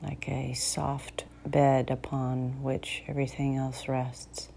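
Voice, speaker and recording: A woman guides a meditation in a notably low, mellow voice, soft and close to whispering at times. She speaks very slowly and soothingly, leaving long pauses between phrases. A faint background noise sits behind her. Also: level tone, vocal fry.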